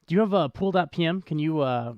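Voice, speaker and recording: A man's voice: fairly high pitch, animated, fast, no echo, muffled recording. A man hosting a live podcast, explaining things in an unscripted, conversational way. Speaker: podcast host.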